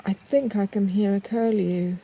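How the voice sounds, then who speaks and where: A woman speaking reflectively and haltingly, with pauses, as in a poetic audio documentary. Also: medium pitch, fairly even intonation, gravelly, mellow, breathy edge, rough recording. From northern England. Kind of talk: reflective, unscripted documentary talk with poetic passages.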